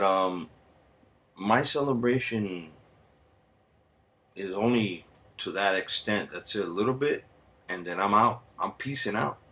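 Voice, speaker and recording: A man's voice: fairly low pitch, a bit bright. Profane ranting man. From United States. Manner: heated, sarcastic, swears constantly; mixes in Spanish slang. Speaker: vlogger. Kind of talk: profane angry rant.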